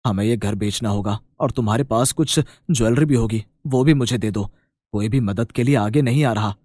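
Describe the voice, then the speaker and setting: A man puts on a troubled businessman husband in an animated tale. The voice is lowered and subdued, politely apologetic and deferential, with a close, dry sound. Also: moderate pace, no echo, close to an everyday voice. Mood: worried. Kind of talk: animated moral story character dialogue.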